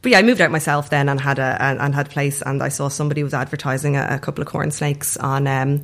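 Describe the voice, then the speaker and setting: A woman's voice: rumbling low, fairly even intonation, fast talker, fairly bright tone. A woman with an Irish accent chatting casually as an interview guest, telling stories in a chatty way and acting out bits of dialogue. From Ireland. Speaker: guest, snake performer.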